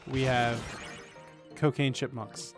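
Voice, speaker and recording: A man's voice: mid-range voice, flat delivery, takes it slowly. A man giving casual, wry livestream commentary on a game, with hesitant asides. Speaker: game streamer, politics lecturer. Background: game music.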